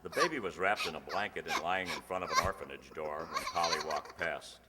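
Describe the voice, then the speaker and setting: A man's voice: extremely low pitch, fairly quick, very noisy. A man announcing and narrating a scripted old-time radio show. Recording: reverberant hall stage recording.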